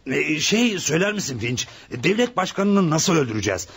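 An actor playing an eager, blunt, slow-witted criminal henchman in a radio drama, with a gravelly, husky voice. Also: on the high side, very animated, full character voice. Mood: curious, puzzled.

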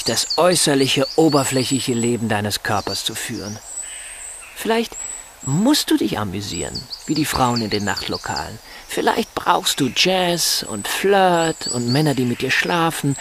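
An actor in a German radio drama playing a bitter, sardonic husband in a wheelchair who lectures and rationalizes with emphasis. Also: male voice, medium pitch, noisy background.

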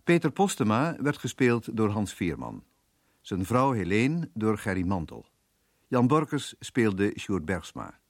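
A man's voice: on the low side, dry recording, clean studio sound. A man working as a radio announcer, reading scripted closing credits for a play.

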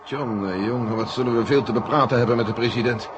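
A man's voice: medium pitch, steady tone, fast, lightly acted. A man playing an authoritative, drily ironic general in a 1970 radio drama. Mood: worried, ironic. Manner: commanding, dry irony. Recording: noisy 1970 radio recording.

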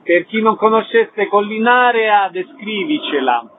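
A man's voice: high voice, expressive, measured pace. A man hosting a radio interview, introducing the guest and putting questions to him. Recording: narrow-band, phone-like radio sound.

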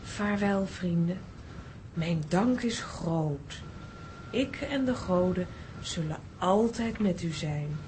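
A benevolent earth goddess in a children's radio play. She speaks solemnly and formally, slow and somewhat low, with faint noise behind. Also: expressive, darkish tone. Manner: stately, measured, formal. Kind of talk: children's audio drama.

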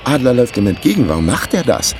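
A man's voice: medium pitch, sing-song, fast talker, music in the background, natural voice. A man playing a wry amateur detective who narrates his case in a dry, laconic way in a radio crime drama. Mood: agitated.

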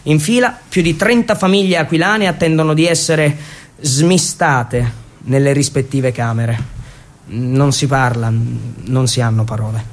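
A man performing a theatrical narrative monologue for radio, with a dramatic, irreverent delivery and emphatic pauses. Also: medium pitch. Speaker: stage storyteller and actor.

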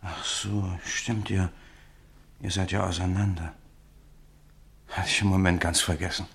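Ein Schauspieler spielt in einem deutschen Hörspiel einen misstrauischen Mann, der widerwillig eine Waffe verkauft und bedächtig nachbohrt. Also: male voice, deep voice, little pitch movement, gravelly, fairly bright tone, full character voice. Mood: probing, needling. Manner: probing, deliberate, questioning. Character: wary man reluctantly selling a revolver, probing and needling. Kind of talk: German radio play acting.

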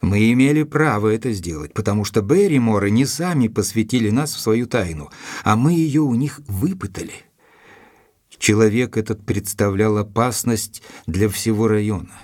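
An actor playing a composed gentleman narrating his own adventure in a measured way for an audio drama. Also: fairly low pitch, no echo, studio quality, close to an everyday voice. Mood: thoughtful. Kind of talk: scripted first-person audio drama narration.

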